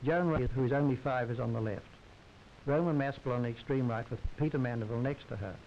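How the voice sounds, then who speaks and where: A man's voice: mid-range voice, fast talker. A man narrating a 1930s society newsreel in a formal, wry and droll scripted manner. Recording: hissy, muffled 1930s film soundtrack.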